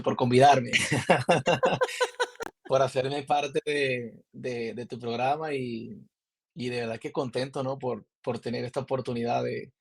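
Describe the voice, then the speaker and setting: Guy from maracaibo, husky gravelly voice, rambles n restarts a lot telling his story. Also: medium pitch. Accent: Maracaibo Venezuelan. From Zulia, Venezuela. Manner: rambling, frequent restarts and filler words. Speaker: podcast guest, film director. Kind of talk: casual interview storytelling. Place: remote call from abroad.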